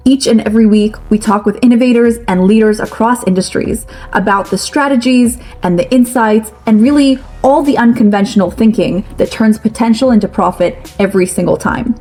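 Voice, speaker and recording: A woman's voice: on the high side, a little fast, hardly any pauses, a little mellow, faint music behind. A woman host reading a scripted podcast introduction in a confident, polished way.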